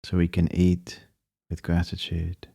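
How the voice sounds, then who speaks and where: A man leading a guided meditation, speaking in a calm, measured and gently instructing manner. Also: sits low, creaky, slightly rough, no echo, clean studio sound.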